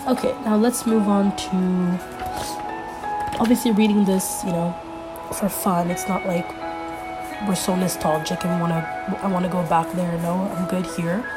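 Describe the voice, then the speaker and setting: A young woman hosting a casual solo podcast, a little congested from being sick, rambling with lots of fillers as she thinks out loud. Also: lowish voice, fairly even intonation, moderate pace, loud music behind the voice. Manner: rambling, filler-heavy, thinking aloud while flipping pages. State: congested from a recent illness. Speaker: podcast host.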